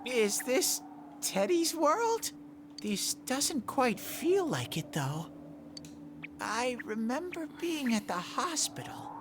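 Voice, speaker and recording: A woman's voice: high voice, very animated, slow, noisy background, full character voice. A woman voicing a childlike, bewildered mascot character in an anime-style video game.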